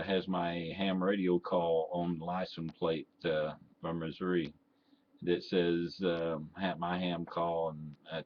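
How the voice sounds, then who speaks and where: Very deep-voiced older Texan man ranting. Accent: Texan, mixed with Southern. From Texas. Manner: blunt and indignant, with many fillers and restarts. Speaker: vlogger and ham radio operator. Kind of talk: opinionated rant to camera. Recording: dull lo-fi home recording with faint noise.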